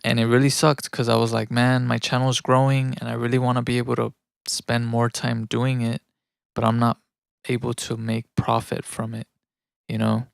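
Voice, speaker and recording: A man's voice: mid-range voice, completely flat delivery, moderate pace, vocal fry, smooth, no echo, studio quality. A man from Mexico living in the United States chats casually and thinks aloud in a rambling, self-reflective way while producing music on a livestream. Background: electronic music.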